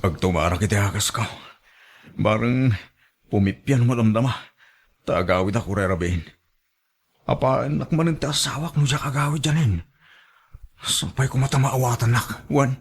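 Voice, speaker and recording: A man's voice: mid-range voice, normal speed, pauses often, breathy edge. A man playing an evasive, placating married cheater in a radio drama, with an Ilocano accent. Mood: pained, complaining.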